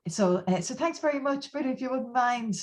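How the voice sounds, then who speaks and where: A woman hosting an online session over a video call, speaking in a halting way with fillers. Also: sits high, animated. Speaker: webinar host. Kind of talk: casual online chat.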